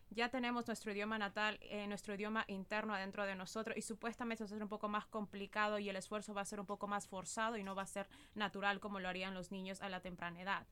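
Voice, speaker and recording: A woman's voice: medium pitch, fairly bright tone, clean tone. A young woman with an Ecuadorian Spanish accent, co-hosting a podcast and talking earnestly and reflectively about learning a language. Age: young adult. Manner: earnest, reflective, laughs easily. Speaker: podcast co-host, English teacher. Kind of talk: casual podcast chat.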